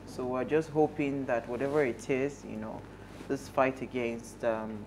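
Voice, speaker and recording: A woman's voice: a bass voice, steady tone, unhurried, noisy background. A woman with a Nigerian accent giving casual news commentary as a talk show panelist in a studio. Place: TV studio, at a desk.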